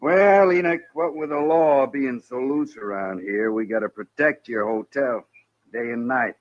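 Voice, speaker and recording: A man's voice: medium pitch, unhurried, no room sound, close to an everyday voice. An American man acting a cocky, menacing hired gun in a radio Western, insolent and commanding. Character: cocky, menacing hired gunman in the Old West. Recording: muffled old radio recording.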